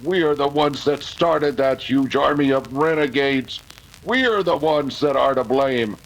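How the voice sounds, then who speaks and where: Guy playing a resolute cowboy hero, old radio serial style, gravelly edge, medium pitch. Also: measured pace, natural voice, rough recording. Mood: grave, regretful. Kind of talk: old-time radio western acting.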